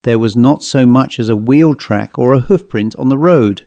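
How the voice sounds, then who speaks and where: A man reading a ghost story as an audiobook narrator, in a measured, dramatic storytelling manner. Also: mid-range voice, warm tone, dry recording, dull audio.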